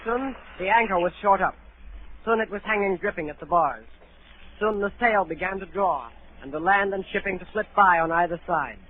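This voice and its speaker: High-voiced earnest cabin boy played by a male actor. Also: moderate pace, a little hiss or hum, natural voice. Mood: earnest recounting. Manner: wistful, storytelling. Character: earnest adolescent cabin boy recounting his first voyage. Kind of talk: 1930s radio acting. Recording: narrow-band 1938 radio broadcast.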